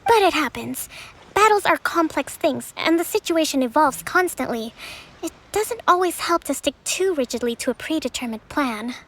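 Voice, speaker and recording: A woman voicing a composed young female strategist and priestess in video game dialogue, measured and analytical. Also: high voice, animated, a bit bright. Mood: thoughtful. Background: game music.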